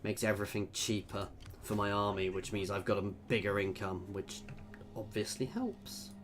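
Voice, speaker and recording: A man's voice: lowish voice, normal speed. A man giving casual commentary while playing a strategy game, thinking aloud and chatting with viewers. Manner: chatty, thinking aloud, reads out chat messages. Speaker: gameplay video creator.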